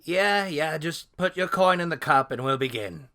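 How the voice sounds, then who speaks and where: A man's voice: fairly high pitch, animated, moderate pace, pushed and bright. A man playing a laid-back, cocky monkey-man brawler in a fantasy audio drama, casual and offhand. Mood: dismissive.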